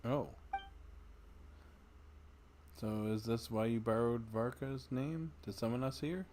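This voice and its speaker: A man's voice: mid-range voice, fairly even intonation, normal speed, a little mellow, slight background noise. A man livestreaming a game, casually commenting and reading out dialogue. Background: game music.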